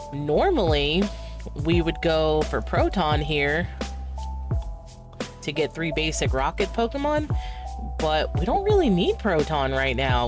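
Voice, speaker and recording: A stuffy, nasal voice with a cold, talking in a loose, rambling way full of fillers while narrating moves in a card game. Faint music plays underneath. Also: mid-range voice. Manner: rambling, many fillers, talks through moves. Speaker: streamer. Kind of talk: casual gameplay commentary.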